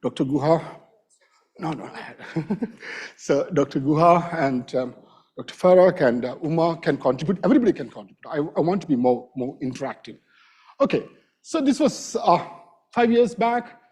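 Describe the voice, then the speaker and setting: Middle-aged man, vet lecturer, raspy from a cold, higher voice, dark and mellow, self-deprecating. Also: animated. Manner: informal, self-deprecating, halting. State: has a bad cold. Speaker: invited lecturer, wildlife vet. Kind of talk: academic lecture. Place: lecture hall.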